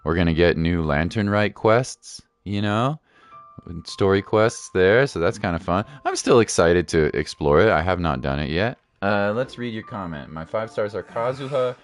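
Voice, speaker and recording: A man's voice: low voice, animated, moderate pace. A man chatting casually as a game streamer, laid-back and thinking aloud. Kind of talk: casual live stream chat. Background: faint game music.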